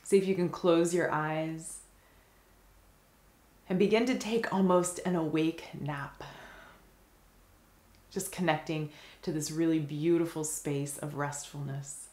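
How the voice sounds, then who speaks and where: A woman with a low-pitched voice leads a guided meditation. Her delivery is very even and gentle, with soothing phrases set apart by long pauses. The sound is roomy, with noticeable reverberation around the voice.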